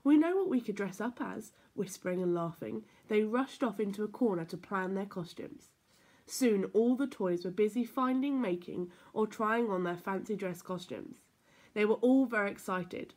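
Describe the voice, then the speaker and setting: A woman reading a children's story aloud in an expressive, playful, emphatic way. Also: mid-range voice, normal speed. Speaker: story time reader for children.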